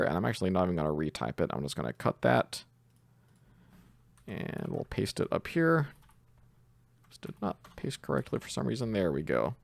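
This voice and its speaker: A man's voice: low voice, a little mellow, no echo, clean studio sound. A man giving a casual coding tutorial, explaining things step by step in an informal way. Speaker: programming tutor.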